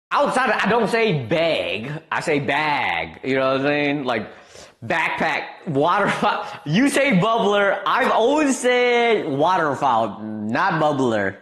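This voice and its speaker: A man's voice: medium pitch, slow. A young man from Wisconsin with a Midwestern accent, chatting casually with his stream viewers, rambling with lots of fillers. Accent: Midwestern American. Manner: laid-back, rambling, lots of fillers, animated when amused.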